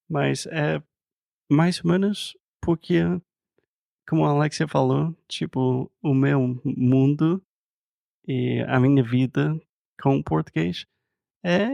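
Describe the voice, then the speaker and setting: American guy speaking learner portuguese, super gravelly and dark, slow, fillers everywhere, dry mic. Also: fairly high pitch, no echo. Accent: American English. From United States. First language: English. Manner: slow, searching for words, says "tipo" often. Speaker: podcast co-host. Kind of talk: casual conversational chat.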